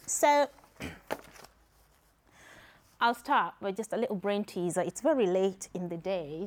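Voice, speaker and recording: A woman with a Kenyan accent giving a conference talk in a church and running a word game with the audience, teasing and laughing often. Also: medium pitch. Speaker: conference speaker. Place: church hall with live audience.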